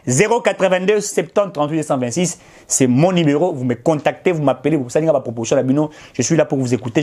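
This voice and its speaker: Impassioned Congolese man, high lively voice. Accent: Congolese French. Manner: emphatic and insistent, with repetition and appeals to the audience. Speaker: political commentator. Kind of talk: impassioned direct-to-camera address.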